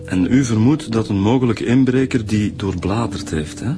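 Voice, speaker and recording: A man's voice: fairly low pitch, normal speed, over music, natural voice. A man playing a chatty Flemish detective. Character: talkative detective, adult man. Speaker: police detective. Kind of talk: radio drama acting.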